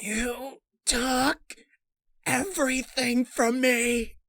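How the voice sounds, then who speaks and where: A woman voicing a dying, burned female pony, desperate and tearful, with a slow, strained, creaky delivery in a dark fan-fiction story. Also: high voice, steady tone, dry recording, studio quality, voice pushed up, exaggerated voice. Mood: anguished.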